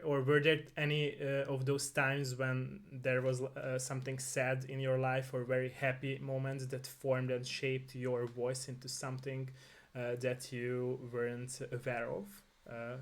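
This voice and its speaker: Man, interview host, earnest, repeats agreements, middle pitch. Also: flat delivery, measured pace. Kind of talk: casual interview chat.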